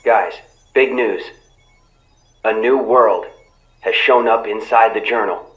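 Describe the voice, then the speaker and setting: A calm, serious young ally speaks in game dialogue. The voice is on the low side, with a roomy echo and slightly muffled sound. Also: male voice, slow pace, slight background noise. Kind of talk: animated video game dialogue.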